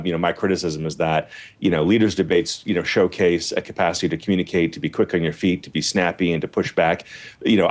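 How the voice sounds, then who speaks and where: Canadian male pundit, very low voice, rapid, rambling and emphatic. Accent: Canadian English. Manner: rambling, many fillers and restarts, emphatic lists. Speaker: political commentator. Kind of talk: panel discussion.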